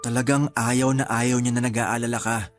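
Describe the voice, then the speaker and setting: An actor playing a caring, apologetic friend who sees spirits, in a Filipino radio drama, gentle and hesitant in manner. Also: male voice, medium pitch, flat delivery, normal speed, lightly acted. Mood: sympathetic. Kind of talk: Tagalog radio drama acting. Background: background music.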